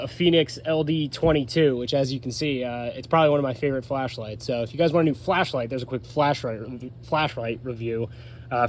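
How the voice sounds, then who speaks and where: A man's voice: mid-range voice, a little hiss or hum. An American man giving a casual, hands-on gear review in a conversational, matter-of-fact way, recorded in his home attic. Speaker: vlogger, radio hobbyist.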